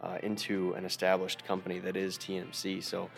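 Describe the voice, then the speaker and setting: A man's voice: sits low, completely flat delivery, a little fast, vocal fry, soft background music. An American man hosting a podcast in an informal, conversational way. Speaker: podcast host. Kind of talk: conversational podcast intro and interview.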